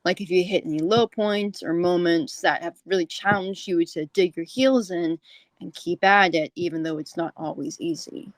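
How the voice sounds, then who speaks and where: Woman podcast host, lowish voice, dry audio, chatty and encouraging interviewer. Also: steady tone, moderate pace, dry recording. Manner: informal, encouraging. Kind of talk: conversational interview.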